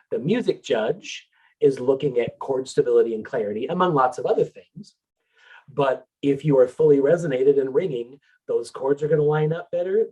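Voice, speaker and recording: A man with a husky voice gives a relaxed, explanatory talk on singing technique. He speaks in a middle pitch and fills pauses with small hesitations. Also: dull audio. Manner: explanatory, relaxed with filler words. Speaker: vocal coach presenter.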